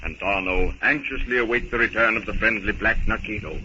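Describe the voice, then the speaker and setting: A man's voice: lowish voice, brisk, sharp tone. A man narrating a 1930s radio adventure serial in a theatrical, suspenseful, dramatic manner. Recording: muffled, noisy 1930s radio recording.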